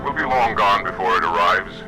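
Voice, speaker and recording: An actor voicing a proud, stern alien warrior commander in a video game, commanding and solemn in an oratorical way. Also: male voice, deep voice, expressive, moderate pace, music in the background, rough recording. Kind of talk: cinematic game acting. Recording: loud soundtrack behind the voice.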